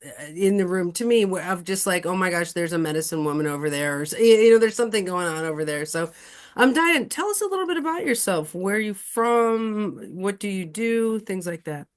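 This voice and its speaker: A woman hosting an interview, introducing her guest in a bubbly, excited way. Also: medium pitch, a little fast. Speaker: interview host.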